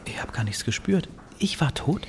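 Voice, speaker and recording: A man's voice: mid-range voice, sing-song, moderate pace, whispered. A man playing a burnt-out, neurotic city poet in an audio drama, dry and wry, with stilted, formal phrasing. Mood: bewildered. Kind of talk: audio drama dialogue and letter narration.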